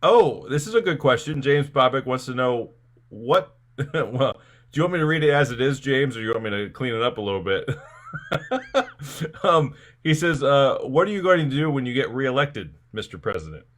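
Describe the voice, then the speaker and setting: A man's voice: mid-range voice, animated, normal speed, a bit bright. Man hosting a casual live stream. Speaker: live-stream show host. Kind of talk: casual comic interview, relaying chat questions.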